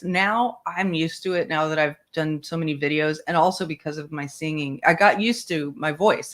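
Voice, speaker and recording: A woman hosting a casual online book club stream, talking in a rambling, animated way and laughing often. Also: on the low side. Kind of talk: casual livestream chat.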